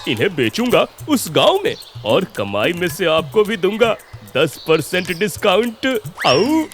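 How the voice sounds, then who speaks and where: A man's voice: high voice, sing-song, exaggerated voice. A man voicing a boastful, slick village firecracker seller in a cartoon, with theatrical hawker's calls. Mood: boastful showman. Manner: theatrical hawker with a drawn-out catchphrase call. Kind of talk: exaggerated Hindi cartoon voice acting. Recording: loud music behind.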